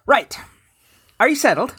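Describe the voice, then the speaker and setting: A woman playing a patient young male sorcerer's apprentice in a comedic fantasy audio drama, dry, measured and long-suffering. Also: fairly high pitch, very animated, fairly bright tone, breathy edge, dry recording, heavily acted. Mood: exasperated.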